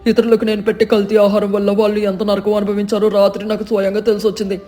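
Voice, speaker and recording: Moral-tale shopkeeper, male actor, theatrical and earnest, high but mellow voice. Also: monotone, quick pace, lightly acted. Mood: remorseful. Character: repentant greedy male village food-stall owner. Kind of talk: dramatised moral story narration.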